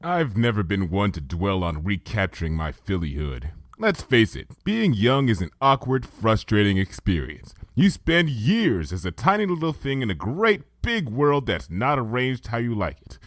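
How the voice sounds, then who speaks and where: A man reading fan fiction aloud in a lively, expressive narration, close to the mic. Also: lowish voice, moderate pace, few pauses, dry recording. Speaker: reader.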